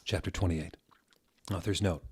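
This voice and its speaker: A man narrating fan fiction as a theatrical dramatic reading, with deliberate, mocking delivery. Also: low voice, fairly even intonation, darkish tone, slightly rough.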